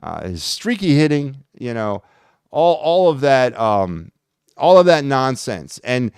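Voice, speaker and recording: A man's voice: medium pitch, big pitch swings, slow, a little mellow, clear, resonant tone, dry recording, clean studio sound. A man hosting a solo sports podcast, talking in a rambling, blunt and sarcastic way with lots of fillers. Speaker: podcast host.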